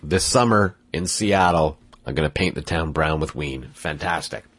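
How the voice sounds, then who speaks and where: A man's voice: extremely low pitch, normal speed, fairly bright tone, breathy edge, no room sound. A congested man hosting a podcast, rambling casually and cutting himself off as he goes. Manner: rambling, self-interrupting, laughs at himself. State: congested from a cold. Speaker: podcast host.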